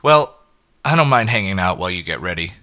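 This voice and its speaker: Man acting a pushy, forceful, self-assured guy. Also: sits low, expressive, a little fast. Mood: pushy, casual.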